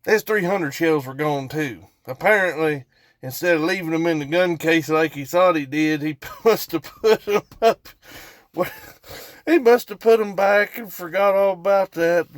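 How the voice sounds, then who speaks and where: Male storyteller, Appalachian accent, slightly high-pitched, folksy and casual, unhurried tangents, dry close sound. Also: no room sound. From West Virginia, United States. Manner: folksy, rambling, repeats for emphasis. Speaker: storytelling vlogger.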